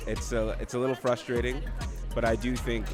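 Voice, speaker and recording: An American man guest speaks in a measured, reflective way, restarting a phrase here and there. Music plays behind him at an outdoor event. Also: mid-range voice, level tone. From United States. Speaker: actor guest. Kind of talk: red carpet interview. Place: outdoor red carpet event.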